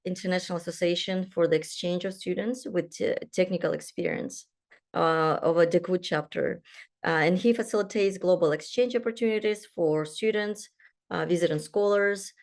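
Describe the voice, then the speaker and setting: A woman's voice: fairly low pitch, a little mellow. A young adult woman from Kazakhstan, a doctoral student, moderating an online panel and introducing speakers, with many fillers and restarts. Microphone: video call.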